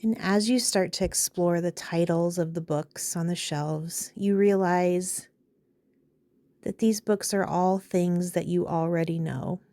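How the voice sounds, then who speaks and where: A woman leading a guided meditation in a calm, soothing, unhurried way, pausing between phrases. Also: on the low side, no echo.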